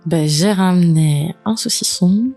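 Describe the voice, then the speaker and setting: A woman's voice: lowish voice, unhurried, mellow, faint music behind. A woman from northern France chatting casually as a podcast guest. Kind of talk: casual chat.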